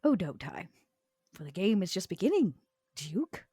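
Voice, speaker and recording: A woman's voice: lowish voice, very animated, normal speed, dark tone, slightly rough, dry recording, exaggerated voice. A woman playing a proud, scornful old vampire in a tabletop roleplay, with haughty, theatrical, formal phrasing. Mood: dry, knowing. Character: proud, scornful old female vampire named Vera, elegant and ambitious.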